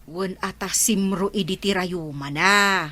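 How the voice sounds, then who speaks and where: A woman's voice: mid-range voice, measured pace, bright, forceful tone, dry recording. A woman with an Ilocano Filipino accent playing a nosy village gossip in a comedy radio drama. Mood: gossipy.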